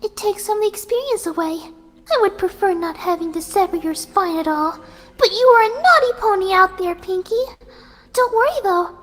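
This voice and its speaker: A woman voicing a gentle, soft-spoken young female pegasus pony who is serenely deranged, in a horror fan fiction reading. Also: very high voice, lively intonation, pitched above the performer's own voice. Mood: calmly menacing. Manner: gentle, sweet, unhurried while menacing. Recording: music behind the voice.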